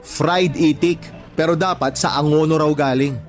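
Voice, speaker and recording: A man's voice: a little high, normal speed, close to an everyday voice. An actor playing a talkative friend and father of four, giving advice in a radio drama. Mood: chatty. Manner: animated storytelling, advice-giving. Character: chatty older friend, teasing and advising. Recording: faint music bed behind voice.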